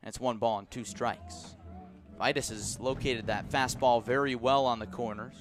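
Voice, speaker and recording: A man calling live baseball play-by-play for the radio in a measured, descriptive way. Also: mid-range voice, fairly even intonation. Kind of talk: live radio sports commentary.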